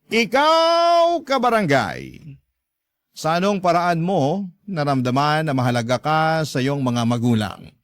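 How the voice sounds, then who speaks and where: A man reading a scripted dramatic story as a radio storyteller, measured and earnest. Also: a little high, sing-song, no room sound, studio quality. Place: dry studio.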